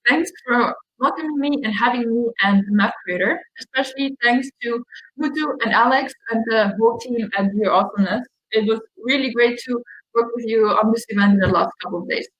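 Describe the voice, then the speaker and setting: A woman's voice: fairly high pitch, a little fast, fairly bright tone, highs cut off. Austrian woman giving a friendly talk. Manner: friendly, explanatory, with fillers. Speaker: customer success manager. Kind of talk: online meetup presentation.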